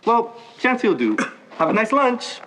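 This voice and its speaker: A man's voice: very high-pitched, big pitch swings, normal speed, a bit bright, full character voice, rough recording. A teenage male student actor playing a chatty working-class hospital porter in a stage play. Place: high school theater stage.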